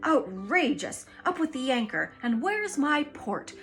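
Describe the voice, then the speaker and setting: A woman voicing a blustering elderly naval admiral who shouts and splutters with rage. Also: high voice, big pitch swings, unhurried, full character voice. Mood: outraged.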